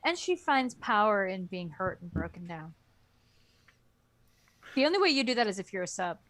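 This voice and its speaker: A woman with a lively, swinging pitch, bantering casually as a co-host. She is playful and likes to tease. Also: normal speed. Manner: teasing, playful. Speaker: book club co-host.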